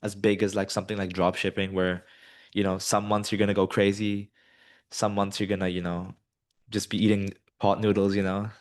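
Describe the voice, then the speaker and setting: A man's voice: on the low side, normal speed. A man in his twenties from Colombia, answering interview questions casually with lots of ums and you-knows. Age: 20s. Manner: many ums and you-knows, relaxed and rambling. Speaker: guest, business student.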